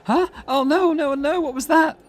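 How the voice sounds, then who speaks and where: A man's voice: very high voice, moderate pace, pitched above the performer's own voice. A man voicing an anxious, impulsive teenage schoolgirl who is easily spooked, in a hesitant visual novel read-through. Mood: panicked. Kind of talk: character voice reading a visual novel. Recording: music in the background.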